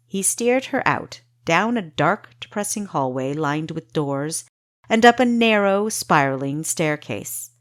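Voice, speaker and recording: A woman's voice: low-pitched, no echo, studio quality. A woman reading scripted fantasy fiction as an audiobook narrator.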